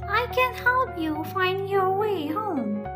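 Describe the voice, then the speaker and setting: A woman voicing a wise, helpful male bird in a children's story, giving careful guidance with a raspy edge. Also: very high-pitched, animated, slow, over music, pitched above the performer's own voice, full character voice. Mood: kindly offer. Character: ugly, ragged old-looking male bird, helpful and wise.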